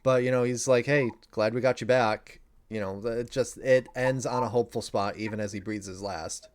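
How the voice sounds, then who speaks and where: A man's voice: medium pitch, steady tone, moderate pace, smooth. A man, a voice actor, chatting casually in a cast commentary with a wry, joking manner. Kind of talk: casual group commentary discussion.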